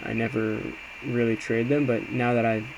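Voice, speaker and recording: Man, mid-pitched, little intonation, conversational, hesitant, self-reflective, casual screen-share commentary. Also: moderate pace. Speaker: amateur day trader recapping trades. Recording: clear steady background noise.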